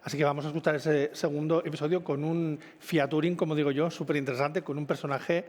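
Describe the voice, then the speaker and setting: Higher-voiced Spanish male presenter in a hall. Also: flat delivery, fast talker, darkish tone. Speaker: event presenter. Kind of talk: informal stage presentation. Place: indoor event hall. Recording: reverberant hall.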